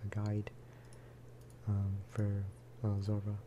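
Man, soft-spoken ASMR gaming chat, lowish voice, flat intonation, unhurried and rambling. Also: highs cut off, background noise. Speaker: gaming video creator.

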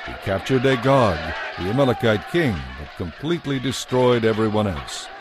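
A man's voice: lowish voice, expressive, normal speed, over music. A man narrating a dramatized audio Bible in a scripted storytelling style.

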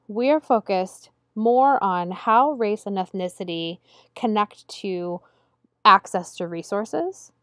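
A woman lecturing over slides in a measured, explanatory way, slowing down to stress key terms. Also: mid-range voice, no room sound, clean studio sound. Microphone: close voice-over mic over slides.

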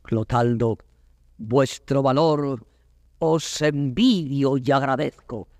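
A man's voice: mid-range voice, slow, a little mellow, no room sound, clean studio sound. A male actor playing a passionate young prince in theatrical, declamatory classical verse drama. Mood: commanding, wondering. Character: passionate young Polish prince torn between dream and honour. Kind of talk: classical Spanish verse stage acting.

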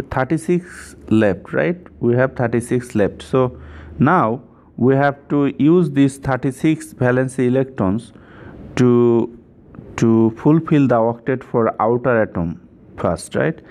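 A man's voice: mid-range voice, darkish tone. A man giving an informal step-by-step chemistry tutorial, repeating himself and often checking in with "right?".

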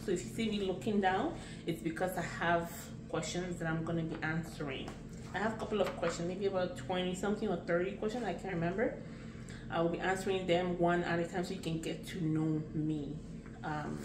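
A woman's voice: lowish voice, roomy sound, recorded from a distance, noisy background. A woman in her late thirties from Nigeria with a Nigerian accent, chatting casually and rambling a little as she answers questions about herself. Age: late 30s. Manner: unhurried, chatty, rambling with restarts. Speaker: vlogger. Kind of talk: casual get-to-know-me vlog.

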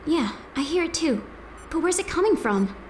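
An actress playing a young, nervous, eager rookie policewoman in an audio drama, girlish and excitable. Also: high voice, normal speed, a little hiss or hum. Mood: alarmed.

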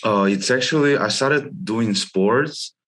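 A man's voice: lowish voice, takes it slowly, fairly bright tone. A young man from Russia and China, a guest on a video call, speaking casually with frequent "like" and loose non-native phrasing. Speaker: guest, gym member. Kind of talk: casual interview chat. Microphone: headset on video call.

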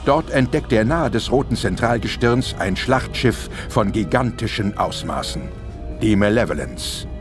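A man's voice: on the low side, expressive, unhurried. A man narrating a science fiction audio drama in a dramatic, scripted style. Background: orchestral music.

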